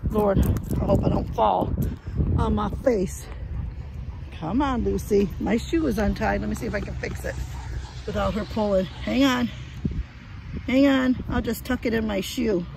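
A woman's voice: mid-range voice, measured pace, creaky, long pauses. An older woman chatting casually and rambling along as she films on a phone outdoors while walking a dog. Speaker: vlogger. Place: outdoors on a rural driveway on a windy day. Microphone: handheld phone. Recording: loud wind and outdoor noise.

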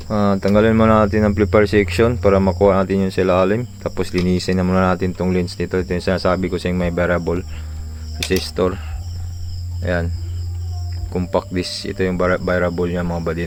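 A man's voice: low voice, level tone, normal speed, long pauses, darkish tone, a little hiss or hum. A man casually explaining a hands-on repair, informally tossing in short tag questions, like a tutorial vlogger.

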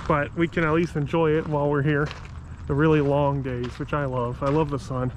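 A man's voice: on the high side, little pitch movement, moderate pace, background noise. A man narrating a walking tour of a city park outdoors as a travel vlogger, talking enthusiastically and correcting himself as he goes. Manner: enthusiastic, rambling with self-corrections.

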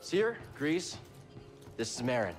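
A man's voice: medium pitch, big pitch swings, takes it slowly. An actor playing an earnest, friendly young male Jedi hero in a cinematic video game. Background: loud game music.

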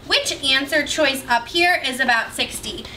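Casting: young female teacher, noticeably high voice, directive and questioning, instructing children from across a noisy room. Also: measured pace, bright, forceful tone, recorded from a distance. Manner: directive, questioning, projecting to a class. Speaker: math teacher. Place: indoor school classroom with students. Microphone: distant camera microphone. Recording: roomy, noisy classroom, picked up from afar.

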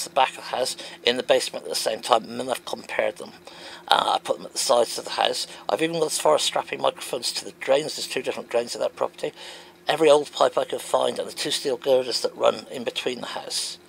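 A man's voice: mid-range voice, a little fast, gravelly, slight background noise, rough recording. A man reading out comments and answering them in an emphatic, wondering way. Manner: animated, wondering aloud, emphatic.

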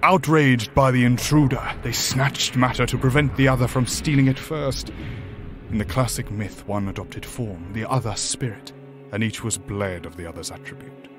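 An actor playing a grave, ancient demon from a higher dimension in a horror audio drama, speaking formally and deliberately. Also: male voice, music in the background, natural voice. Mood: solemn. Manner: formal, deliberate, solemn, eloquent.

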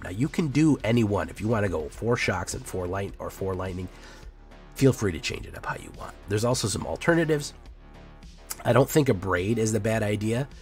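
A man hosting a casual card-game deck breakdown, upbeat and hammy, his voice a little off from being sick. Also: fairly low pitch. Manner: upbeat, hammy sign-off banter. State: sick, voice sounds off. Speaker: host.

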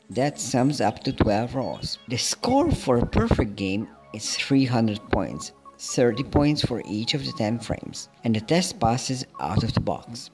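A man calmly narrating a programming tutorial step by step in a scripted voice-over. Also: medium pitch. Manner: calm, methodical, explaining each step. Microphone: voice-over over screen recording. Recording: soft background music.

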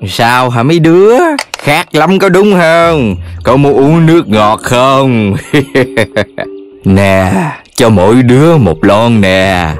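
A man's voice: mid-range voice, expressive, takes it slowly. A man voicing a sly wolf kidnapper in a children's cartoon, drawling and taunting with a southern Vietnamese accent. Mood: slyly friendly. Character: sly villainous adult wolf kidnapper, mockingly friendly. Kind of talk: animated children's cartoon dub. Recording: music under the voice.

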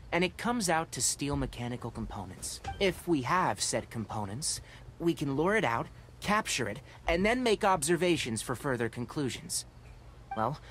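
Man voicing a calm scholarly forest ranger. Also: medium pitch, slight background noise, close to an everyday voice. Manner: precise, analytical, composed. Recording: game audio with faint music.

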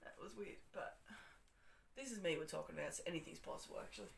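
Chatty Australian woman, croaky morning voice. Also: deep voice, animated, fast, a little hiss or hum. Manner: chatty, rambling, self-correcting with frequent ums. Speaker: vlogger. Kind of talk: casual day-in-the-life vlog. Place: small bedroom at home. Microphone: phone camera, handheld or propped up.

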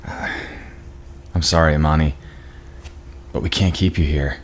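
An awkward office boss in his forties, played by a man at an online table read. He is apologetic and speaks in a very low voice. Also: steady tone, measured pace, a little hiss or hum. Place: indoor room, video call.

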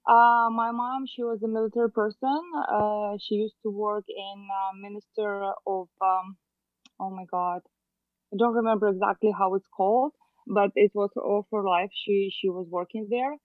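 A woman's voice: mid-range voice, steady tone, moderate pace, dry recording. A woman in her thirties from Moldova with a Russian accent, a realtor talking through a video call interview in a chatty, hesitant way with many fillers. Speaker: guest realtor. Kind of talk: casual interview. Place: home room, indoors. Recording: dry tinny video call audio.

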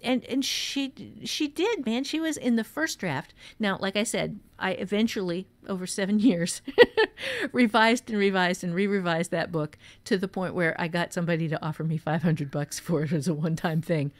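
A woman's voice: fairly low pitch, normal speed. A woman co-hosting a talk on fiction craft, explaining things in an emphatic, conversational way. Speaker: podcast co-host and novelist giving writing advice. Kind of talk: conversational writing advice.

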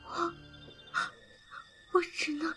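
Wounded young fugitive woman voiced by an actress, breathy and faltering, very slow, music behind. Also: pushed and bright. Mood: weak, pleading. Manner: halting, faltering whisper. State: weak and injured, breathy. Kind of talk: audio drama acting.

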